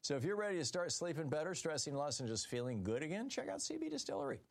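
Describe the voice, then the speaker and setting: A man's voice: on the high side, very animated, fast, gravelly. An American man speaking as the host of a radio call-in show. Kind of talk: live call-in talk and host-read ad. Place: radio studio.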